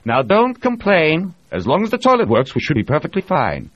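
A man doing a stiff-upper-lip English gentleman. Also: fairly high pitch, huge pitch swings, moderate pace, no echo, lo-fi sound, voice pushed up. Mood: placating.

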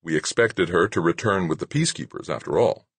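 Man playing a mild, husky corporate lawyer. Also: sits low, no echo. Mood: mild, placating. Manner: measured, placating. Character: mild, placating distinguished silver-haired male corporate lawyer. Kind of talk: audiobook character dialogue.

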